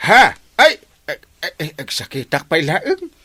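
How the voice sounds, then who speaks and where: A man's voice: on the high side, sing-song, a little slow, bright, forceful tone, breathy edge, exaggerated voice. A man playing a whiny, stammering village captain who malingers, in a comedy radio drama. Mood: groaning, evasive. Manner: whiny, hesitant stammering, exclamatory.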